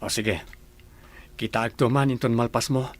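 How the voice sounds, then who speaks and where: A man's voice: mid-range voice, steady tone, moderate pace, natural voice. A man playing an easygoing young groom, protective of his bride, in an audio drama.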